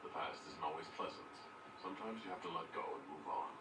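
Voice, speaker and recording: An actor playing a calm, supportive fantasy leader in a video game, with a steady and sincere delivery. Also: male voice, very deep voice, fast. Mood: calm, reassuring. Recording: roomy, distant, very noisy game capture.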